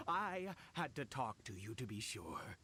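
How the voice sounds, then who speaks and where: An actor playing a distraught, obsessive middle-aged father in a video game, with halting, stammering, theatrical delivery. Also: medium pitch, sing-song, sharp tone, slight background noise, heavily acted. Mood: unhinged triumph. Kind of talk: dramatic game voice acting.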